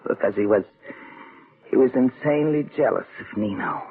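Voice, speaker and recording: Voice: mid-range voice, animated, moderate pace, husky, narrow, tinny audio. An actor playing a secretive, fearful, insinuating accomplice in a vintage radio suspense drama. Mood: fearful, bitter. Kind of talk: 1940s-50s radio suspense acting.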